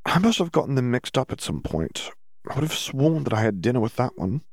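Audiobook role: male reader as sensible teenage girl, earnest, inquisitive, lifted pitch, dry sound. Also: very animated, brisk, no room sound. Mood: puzzled.

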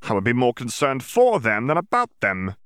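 A cornered dark wizard bargains his way out, his voice pitched up high and pushed hard with defiance, as a man acts him out. He sounds sharp and mocking, and the reading is dry and close. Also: wildly swooping pitch, moderate pace, clean tone, no echo. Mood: taunting.

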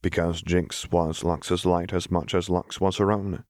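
A man with a low voice narrates an audiobook story. The recording is very dry, with next to no room in it. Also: flat delivery, measured pace, a little mellow, no room sound. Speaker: audiobook reader. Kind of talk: scripted fiction narration.

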